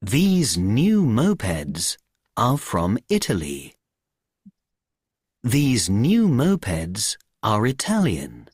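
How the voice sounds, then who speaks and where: A man reading textbook example sentences slowly and deliberately for a recorded lesson. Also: lowish voice, husky. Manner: very slow, deliberate reading with lively intonation. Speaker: recorded audio narrator.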